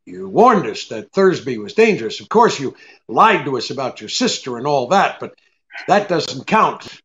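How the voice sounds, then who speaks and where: An actor playing a shrewd, cool private detective in an old-time radio drama, wry and blunt, hesitating with the odd uh. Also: male voice, medium pitch, very animated, moderate pace, fairly bright tone. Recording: dull, lo-fi audio.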